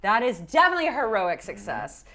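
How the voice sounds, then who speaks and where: A woman running a tabletop game as game master, improvising narration in a halting, enthusiastic way and chatting casually with players. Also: a little high, sing-song, a bit bright. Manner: halting, pieces scenes together mid-sentence, enthusiastic.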